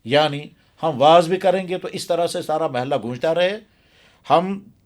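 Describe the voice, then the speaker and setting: Earnest male cleric, slightly high voice. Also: a little slow, a little mellow. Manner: earnest, emphatic, repeats phrases for stress. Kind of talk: explanatory religious talk in an interview.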